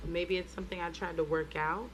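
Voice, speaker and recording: A woman co-hosting a relationship podcast in casual chat, answering in a measured, thoughtful way with hedges. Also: fairly low pitch, steady tone, a bit bright, a little hiss or hum, low-quality recording. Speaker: podcast co-host.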